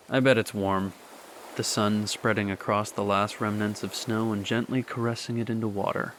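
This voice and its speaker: An actor playing a wistful, nostalgic young man in a radio drama monologue, hesitant and intimate, speaking to a lost love. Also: fairly low pitch. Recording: ocean waves and ambient noise behind. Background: ocean waves.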